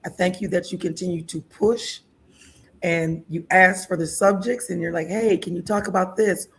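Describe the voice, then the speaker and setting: Woman in her 60s hosting a talk show, kinda low voice, laughs a lot, chatty. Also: normal speed. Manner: lively, laughs often, chatty. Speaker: host. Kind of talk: casual interview talk.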